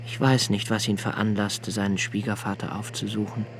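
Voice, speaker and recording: An actor playing a sensitive, impoverished young poet, speaking an introspective, deliberate monologue in a radio play. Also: male voice, fairly low pitch, little pitch movement, slight background noise, natural voice. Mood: hushed, remembering. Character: sensitive, brooding, poor young foreign poet and diarist. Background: music.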